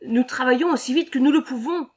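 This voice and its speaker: A woman's voice: high voice, a little fast, darkish tone, husky, pitched above the performer's own voice. A woman voicing an anxious, earnest male lumberjack foreman in a read-aloud storybook, with a raised, eager voice. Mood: worried.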